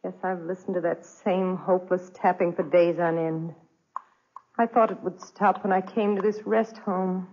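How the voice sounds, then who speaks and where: An actress playing a lonely, tormented young French wife in a theatrical 1940s radio drama, with deliberate phrasing. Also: female voice, lowish voice, steady tone, a little mellow. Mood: brooding dread. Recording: dull, restored 1940s radio recording.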